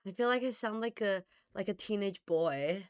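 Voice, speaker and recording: A woman streamer talks casually to her live chat in a hoarse, slightly gravelly voice that is still recovering. Her pitch sits in a middle range. She greets viewers one by one in a chatty way. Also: normal speed.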